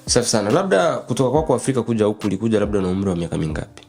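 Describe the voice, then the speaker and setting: Male interviewer, East African accent. Rapid, lowish delivery, casual and encouraging. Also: sing-song, darkish tone, faint music behind. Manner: frequent short encouraging interjections.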